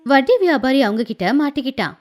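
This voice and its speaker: A woman narrating a scripted animated moral tale as a storyteller. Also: sits high, quick pace.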